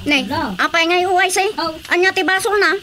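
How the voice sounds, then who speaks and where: A woman's voice: very high-pitched, normal speed, crowd noise. An actress playing a chatty, sympathetic younger married woman with a Filipino accent in a radio drama comedy. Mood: concerned.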